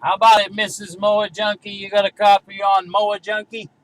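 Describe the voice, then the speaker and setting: Male CB hobbyist, high forceful voice, casual radio check-ins, faint vehicle noise behind. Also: flat delivery, a little fast, rough recording. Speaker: CB radio hobbyist running a range test.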